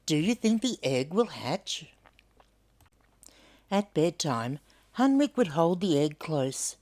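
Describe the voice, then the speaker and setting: A woman's voice: low-pitched, big pitch swings, takes it slowly, a little mellow. An older woman with an Australian accent reading a storybook aloud to children, expressively and with questions for young listeners.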